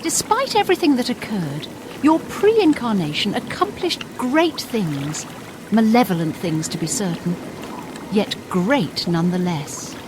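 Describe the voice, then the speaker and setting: A woman performs a solemn, wounded female figure from a fantasy game. She speaks formally and accusingly, with swooping, expressive intonation. A clear wash of noisy ambience sits behind the voice. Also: mid-range voice. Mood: grave, foreboding. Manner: formal, deliberate, rhetorical. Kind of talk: scripted fantasy game dialogue.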